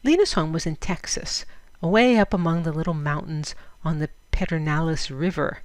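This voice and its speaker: A woman narrating a literary story in a measured, expressive storytelling manner. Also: low-pitched, dry recording. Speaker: audiobook reader.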